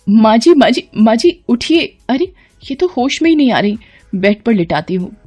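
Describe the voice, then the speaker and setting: A woman's voice: a little high, very animated, normal speed, a little mellow, pitched above the performer's own voice. Storybook young daughter-in-law, played by a female narrator: caring, anxious, respectful, light music underneath. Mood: worried.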